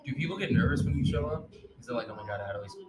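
A man's voice: mid-range voice, lively intonation, rapid-fire, very noisy. A young man hosting a casual podcast, upbeat and joking, with plenty of fillers. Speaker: podcast host.

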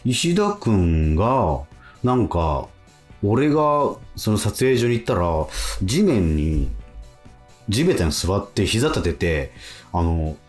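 Lively man chatting on radio. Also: on the low side, takes it slowly, soft background music. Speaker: radio show co-host. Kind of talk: casual chat, telling anecdotes. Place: radio studio.

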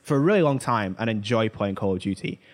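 A man's voice: lowish voice, expressive, fast talker. A man co-hosting a pop culture podcast, chatting informally and giving his opinions. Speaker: podcast co-host. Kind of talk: casual pop culture chat.

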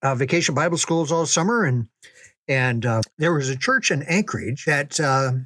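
An older man reminiscing casually in a folksy, unhurried, conversational way, as a storyteller. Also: a little high, animated, fairly bright tone, no room sound. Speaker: storyteller recalling ministry memories.

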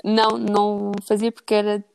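A woman's voice: mid-range voice, completely flat delivery, normal speed, gravelly, darkish tone, clean tone. A woman with a European Portuguese accent giving casual, conversational answers as a podcast guest.

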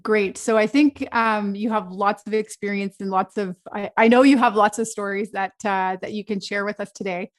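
A woman's voice: medium pitch, steady tone, normal speed, hardly any pauses. A woman hosting an interview podcast, reading a scripted intro and then chatting with frequent restarts. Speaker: podcast host.

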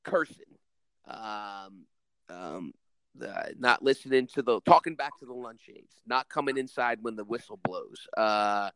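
A man's voice: on the low side, animated, a little slow, fairly bright tone, no echo, low-quality recording. A man co-hosting a podcast, who teaches school, talking earnestly in a rambling way with many restarts and a commanding voice. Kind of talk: casual podcast chat.

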